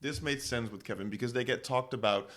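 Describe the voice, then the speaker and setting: A man's voice: medium pitch, fairly quick, a bit bright. A young man, co-hosting a podcast and chatting playfully about a TV show. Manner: chatty, playful, interjecting often. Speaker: podcast co-host. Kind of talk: casual chat recapping a TV show.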